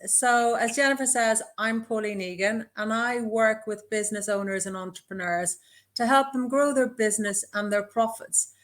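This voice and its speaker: A woman in her fifties from the west of Ireland, with that region's accent, answering as a business mentor guest in a measured, articulate way over a video call. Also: a little high. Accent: west of Ireland Irish. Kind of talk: interview answers.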